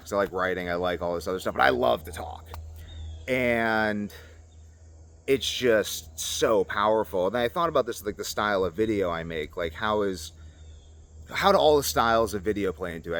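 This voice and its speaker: A man's voice: low-pitched, a bit bright, slight background noise. A man in his twenties talking casually and unscripted to a camera as a vlogger, rambling from one tangent to another. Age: 20s. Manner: rambling, jumping between tangents. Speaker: video creator.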